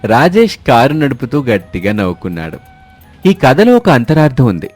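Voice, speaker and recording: A man's voice: mid-range voice, very animated, normal speed, a little mellow. A man narrating a scripted moral story as its storyteller. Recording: dry studio, music behind.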